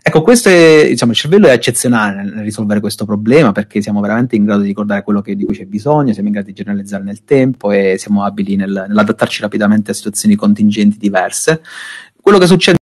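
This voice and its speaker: Young guy, lowish voice, giving a tech talk on a video call, lots of ums and restarts. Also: fast talker, husky. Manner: explains loosely, with many fillers and restarts. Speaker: speaker.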